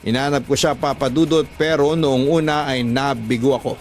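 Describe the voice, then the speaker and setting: A man with a Filipino accent narrating a scripted story as a radio drama host, in an emotive, deliberate storytelling delivery. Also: mid-range voice, steady tone. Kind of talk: scripted dramatic narration of letter-sender stories. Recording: voice over background music. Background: music.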